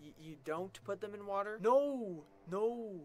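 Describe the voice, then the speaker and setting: A performer voicing a hesitant, exasperated cartoon pony in a comedic parody dub, with halting, stammering delivery. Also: mid-range voice, lively intonation, lightly acted. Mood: baffled.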